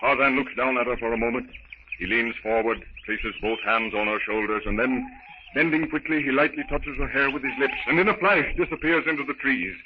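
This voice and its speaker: A man narrating a 1930s radio serial in a dramatic, theatrical, deliberate manner. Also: medium pitch. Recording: noisy muffled 1930s radio recording.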